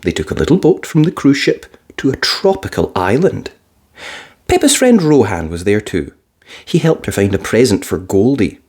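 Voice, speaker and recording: A woman reading a children's picture book aloud as storyteller, expressive and playful with sound words. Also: rumbling low, moderate pace, breathy edge.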